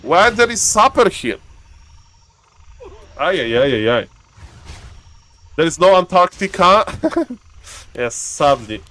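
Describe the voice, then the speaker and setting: A man from Poland with a Polish accent, casting a live strategy game in chatty, excitable English and answering his chat. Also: a little high, takes it slowly, long pauses. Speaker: game caster.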